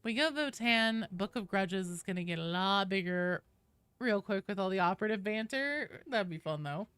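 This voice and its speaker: Bright, clear-voiced woman streaming games. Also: mid-range voice, animated, normal speed, dry recording. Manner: chatty, casual swearing. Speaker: game streamer and host. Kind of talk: casual livestream chat while gaming.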